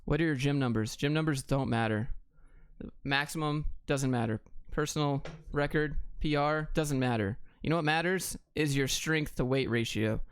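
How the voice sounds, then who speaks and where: A man's voice: a little high, normal speed, clear, resonant tone, no room sound. Blunt American man, clean dry sound. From Atlanta, Georgia, United States. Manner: blunt, clipped, offhand answers. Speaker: livestream host. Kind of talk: casual livestream chat answering viewer questions.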